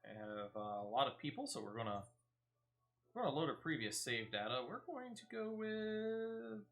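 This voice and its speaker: Lively, bright-voiced man commentating gameplay. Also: medium pitch, normal speed. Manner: chatty, thinks aloud. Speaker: gaming streamer.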